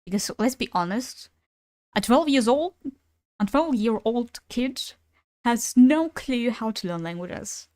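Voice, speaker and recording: A woman's voice: a little high, lively intonation, takes it slowly, darkish tone, dry recording. Young ukrainian-native woman chatting on stream, casual, swears a bit, dry close sound. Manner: chatty, swears casually, laughs between thoughts. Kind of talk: casual gaming stream chat.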